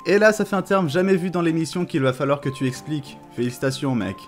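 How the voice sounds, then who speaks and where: A man's voice: fairly high pitch, steady tone, fast. A man taking part as a panelist in scripted mock-trial banter. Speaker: debate show panelist. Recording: music clearly behind the voice.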